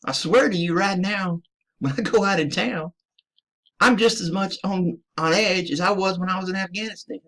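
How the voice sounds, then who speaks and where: A man's voice: high voice, normal speed. A man from the United States, a military veteran, delivering a profane, emphatic ranting monologue to his listeners. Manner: profane, emphatic, addresses listeners as ladies and gentlemen.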